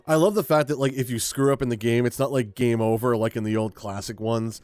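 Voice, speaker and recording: A man's voice: mid-range voice, fairly even intonation, brisk, darkish tone, no room sound. A man hosting a gaming video with casual, rambling commentary, thinking aloud as he plays. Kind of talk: casual let's play commentary.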